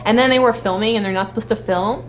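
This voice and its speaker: A woman's voice: medium pitch, fast talker. A woman co-hosting a casual live fan vlog, talking in a rambling, repetitive, slangy way. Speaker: vlog co-host. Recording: noisy live-stream audio with hum.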